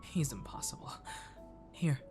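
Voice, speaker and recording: A man playing an attentive, playfully flirty catboy cafe waiter in an ASMR roleplay, speaking softly and often slipping into a whisper. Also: measured pace, over music, lightly acted. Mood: gentle, caring. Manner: soft-spoken, drifting into whispers.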